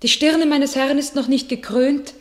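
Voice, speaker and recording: A devout young warrior maid. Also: female voice, high-pitched, steady tone, measured pace. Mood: solemn. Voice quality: solemn, declamatory. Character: young peasant maid turned holy warrior, proud and devout. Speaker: actor in a radio play. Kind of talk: classic verse radio drama.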